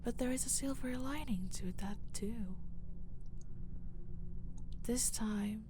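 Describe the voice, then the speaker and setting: A woman playing a menacing, dominant mafia boss in an audio roleplay, speaking slowly and breathily in a condescending, teasing way. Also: on the high side, background noise. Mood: calm menace. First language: Finnish. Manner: slow, deliberate, condescending, breathy near-whisper. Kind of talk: intimate dramatic audio roleplay.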